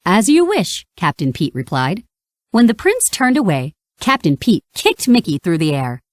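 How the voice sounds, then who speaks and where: A woman reading a children's read-along story in an expressive, scripted storytelling style. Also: low voice, moderate pace, no echo, clean studio sound. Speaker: storybook narrator.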